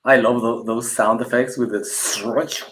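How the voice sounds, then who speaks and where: A man's voice: medium pitch, steady tone, a little slow, a bit bright, a little hiss or hum, low-quality recording. A man co-hosting a casual livestream, asking lots of curious questions and often restarting his words. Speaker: co-host.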